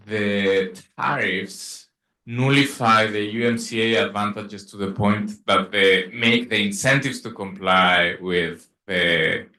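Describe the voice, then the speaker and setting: A man asking a question from the audience as a professor, halting as he searches for words. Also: fairly low pitch, pushed and bright. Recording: echoey event room.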